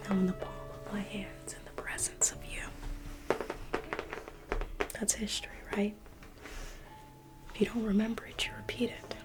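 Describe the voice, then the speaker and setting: A woman in an ASMR roleplay, playing a private museum guide in a slow, coaxing whisper that repeats phrases. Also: little pitch movement, over loud music. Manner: coaxing sales-pitch tone.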